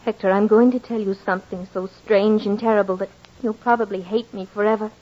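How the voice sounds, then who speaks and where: A woman's voice: mid-range voice, fairly even intonation, mellow, lightly acted. Woman acting an anguished, dying wife, vintage radio. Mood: confessing, distressed. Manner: melodramatic, halting, fervent. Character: anguished, dying wife bewitched by a phantom stranger. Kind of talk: old-time radio horror acting. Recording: dull vintage radio recording, faint music.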